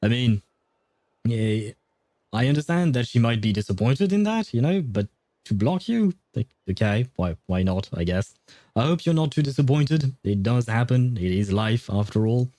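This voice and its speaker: Young male streamer, warm low-key tone, dry close mic, rambling and good-humored. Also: expressive, moderate pace, no room sound. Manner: chatty, laughs often, thinks aloud while reading. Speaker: gaming livestreamer. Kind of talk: casual livestream chat.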